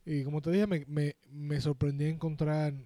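Slightly high-voiced Dominican man, slangy and mellow. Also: level tone, moderate pace, dry recording. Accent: Dominican Spanish. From Dominican Republic. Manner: slangy, joking, swears casually. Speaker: podcast co-host. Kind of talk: casual chat.

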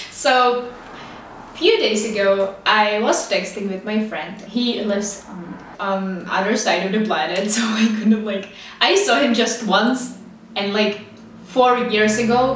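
A woman's voice: medium pitch, takes it slowly. A young woman from the Czech Republic with a Czech accent, rambling casually to the camera with lots of fillers and laughs. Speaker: vlogger. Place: small studio with street traffic outside. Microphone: camera's built-in mic, no external microphone. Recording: roomy, distant camera mic with faint street noise.

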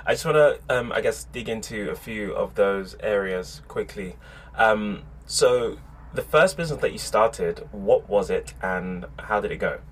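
A man hosting a podcast, reading a lively intro and then interviewing a guest in a friendly, eager way. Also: fairly low pitch, measured pace. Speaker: podcast host.

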